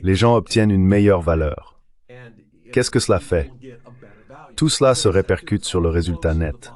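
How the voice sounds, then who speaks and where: A man's voice: deep voice, normal speed, a little mellow, close to an everyday voice. A man delivering an explanatory voice-over translation for an interview guest. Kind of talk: dubbed interview commentary, explanatory.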